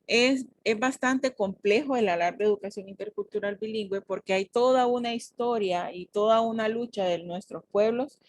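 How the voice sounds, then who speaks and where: Una mujer hondureña dando clase en la universidad, con voz de altura media. Aclara conceptos con paso firme y retoma lo que dijeron sus estudiantes para precisarlo. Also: female voice, mid-range voice, no room sound. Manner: explanatory, corrects and clarifies. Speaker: teacher. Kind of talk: university class discussion.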